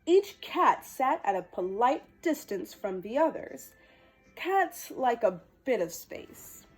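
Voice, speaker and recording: Very high, animated woman reading storytime. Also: takes it slowly, slightly rough, faint music behind. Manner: playful, exclamatory. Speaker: storytime host. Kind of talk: expressive children's picture-book reading with chatty asides.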